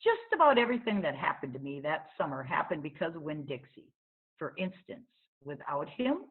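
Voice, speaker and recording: A woman narrates a children's book in an expressive read-aloud style. Her voice is slightly low and moves with lots of melody. The recording is thin and narrow, like a phone call.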